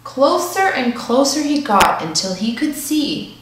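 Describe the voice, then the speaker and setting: A woman reading a children's picture book aloud, with expressive, deliberate storybook phrasing. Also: sits high, background noise. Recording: echoey room, distant microphone.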